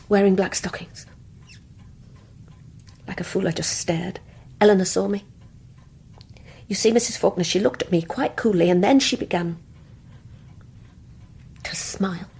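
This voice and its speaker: An anxious British younger woman in a radio play. Her voice is very husky and breathy, at a middling pitch. She speaks tensely and hesitantly, like someone confessing guilty secrets. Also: a little slow, pauses often, darkish tone. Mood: confessional. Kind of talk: radio play acting with intimate first-person narration.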